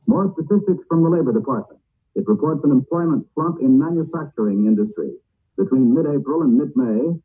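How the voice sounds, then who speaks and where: A man's voice: mid-range voice, breathy edge. Muffled 1940s radio newsman. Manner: formal, declarative. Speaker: radio newscaster. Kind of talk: 1940s radio news reading.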